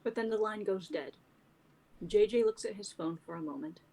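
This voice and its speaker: A woman's voice: medium pitch, normal speed, warm tone, rough recording. A young woman narrating stage directions from a drama script. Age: young adult.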